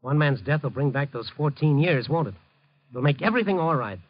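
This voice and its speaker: An actor playing an earnest, hard-boiled newspaper reporter, urgent and moralizing, in a 1950s radio drama. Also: male voice, a little high, expressive, brisk. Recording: dull vintage radio broadcast recording.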